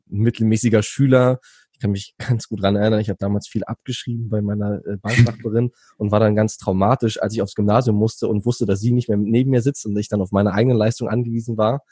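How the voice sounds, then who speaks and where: A man's voice: fairly low pitch, mellow, breathy edge, dry recording, clean studio sound. A young man from Berlin, recounting his youth as an interview guest in a rambling way, with many fillers and restarts. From Berlin, Germany. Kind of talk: relaxed conversational storytelling.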